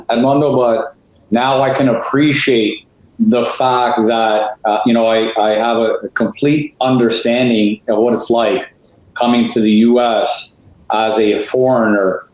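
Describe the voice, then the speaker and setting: Chatty male co-host, mid-pitch voice, lots of fillers and rhetorical asides, in a very echoey room. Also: darkish tone. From Canada. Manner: talkative, many fillers, rhetorical questions. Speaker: co-host, real estate advisor. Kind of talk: conversational interview.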